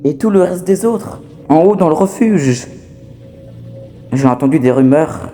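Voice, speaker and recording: A man's voice: a little high, expressive, measured pace, warm tone, faint music behind. A man voicing a scrappy, talkative toy outcast, wary but scheming, in a fan dub of a horror game. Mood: hushed urgency. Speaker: amateur voice actor dubbing a game character.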